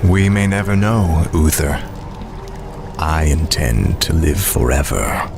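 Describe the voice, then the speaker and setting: Low voice, arrogant, cold death knight, fallen prince,, video game villain, music underneath. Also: male voice, animated, slow, creaky, heavily acted. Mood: smug defiance. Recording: music behind the voice.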